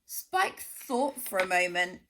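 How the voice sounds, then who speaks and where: Woman doing a kids' storytime read-aloud, slow and expressive, voice goes up and down a lot. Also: lowish voice, a little hiss or hum. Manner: expressive, gently dramatic. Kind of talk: reading a children's picture book aloud.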